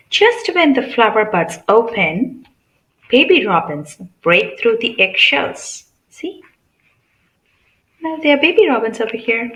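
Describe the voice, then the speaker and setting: A woman with a fairly high, very animated voice reads a picture book to young children. She speaks like a teacher, pausing to put simple questions and explain things. The recording is noticeably roomy and echoey. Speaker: read-aloud channel host.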